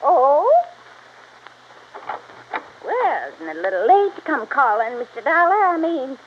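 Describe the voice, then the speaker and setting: High, swooping, fussy genteel lady. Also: sing-song, measured pace. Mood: fussy, evasive. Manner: fussy, indignant, theatrical. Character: genteel, falsely sweet woman feigning innocence. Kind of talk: 1950s radio drama acting. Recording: dull, faintly noisy vintage radio recording.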